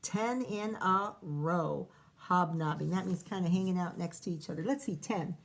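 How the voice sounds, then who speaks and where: A woman teacher reading a picture book aloud to children, pausing to ask questions and count along. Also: fairly low pitch, gravelly. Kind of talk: children's read-aloud with commentary.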